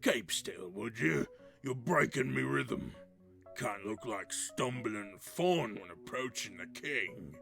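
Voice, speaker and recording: Guy doing a grumpy giant troll scout, gravelly n slow, fantasy audio play w music behind. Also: medium pitch, expressive, fairly bright tone, exaggerated voice. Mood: irritated. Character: gruff giant troll scout guard, irritable, male. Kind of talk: fantasy audio drama acting.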